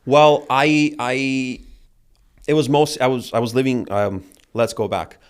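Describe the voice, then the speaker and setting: A young man born in Italy, whose first language is Italian, chatting casually as a podcast guest and telling stories in a laid-back, animated way with slang. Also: mid-range voice, unhurried, clean tone. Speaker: guest chef.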